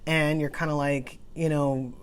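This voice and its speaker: Low-pitched woman presenting an oracle card reading. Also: flat delivery, unhurried, clean tone. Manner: informal, musing, conversational. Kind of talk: casual rambling card reading, partly read aloud from a guidebook.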